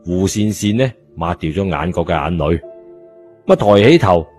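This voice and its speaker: A man's voice: low voice, lively intonation, normal speed, darkish tone. A man with a Cantonese accent narrating an audiobook in colloquial storytelling style. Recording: background music under the voice.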